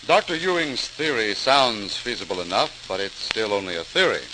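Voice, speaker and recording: An actor playing a measured, questioning official in an old radio docudrama, speaking formally. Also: male voice, lowish voice, very animated. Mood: cautious, questioning. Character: measured, questioning male official weighing a new theory. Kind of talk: 1940s-style radio docudrama acting. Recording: noisy, hissing old-time radio recording.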